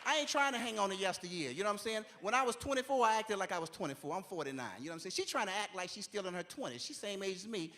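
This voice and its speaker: A man's voice: high-pitched, animated, racing, hardly any pauses, bright, forceful tone, some chatter behind. A man, telling conversational stories and leaning on "you know what I'm saying.". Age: 40s. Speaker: stand-up comedian. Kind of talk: stand-up comedy routine.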